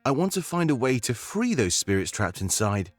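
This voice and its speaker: A man's voice: medium pitch, sing-song, normal speed, no echo, soft background music. A man voicing an earnest rebel guide in a visual novel game, conscience-stricken but with a playful streak, talking in a musing, conversational way. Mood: earnest, confiding.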